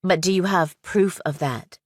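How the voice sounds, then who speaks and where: A woman's voice: fairly low pitch, measured pace, no room sound, studio quality. A woman playing a shrewd young law student in an audiobook, direct, questioning and analytical. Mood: probing, skeptical. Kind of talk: audiobook character dialogue.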